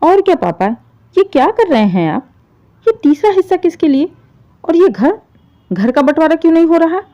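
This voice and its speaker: A woman's voice: extremely high pitch, very animated, normal speed, pitched above the performer's own voice. A woman voicing a selfish adult son in a moral story, insistent and complaining as he presses his father. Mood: indignant, shocked. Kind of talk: dramatised Hindi moral story. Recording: dull, muffled lo-fi audio.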